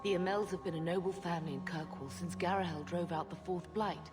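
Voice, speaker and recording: A woman's voice: on the low side, steady tone, brisk, big-room sound, music in the background, close to an everyday voice. An actress playing a proud, wistful middle-aged noblewoman in a video game, speaking with earnest dignity. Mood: plaintive, proud.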